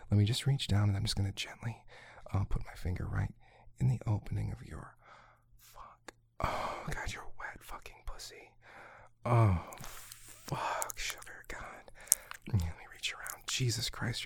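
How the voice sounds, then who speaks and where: A man with a low, gravelly voice murmurs in a breathy, husky whisper. He talks slowly and coaxingly for an intimate audio roleplay, giving soft commands between frequent low hums and sighs. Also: no echo. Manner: slow, commanding, coaxing delivery.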